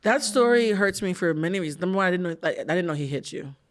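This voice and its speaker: A man's voice: sits high, animated. A man hosting a podcast in a studio, interviewing his cousin in casual chat, teasing and jumping in with questions. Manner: quick, teasing, jumps in with questions. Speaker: podcast host.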